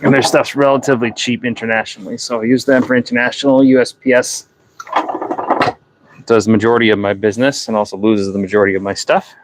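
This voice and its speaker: A man's voice: medium pitch, fairly even intonation, fast, background noise. Chatty New Hampshire man packing orders. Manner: rambling, chatty, self-correcting. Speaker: livestream host. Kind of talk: casual livestream chat while packing orders.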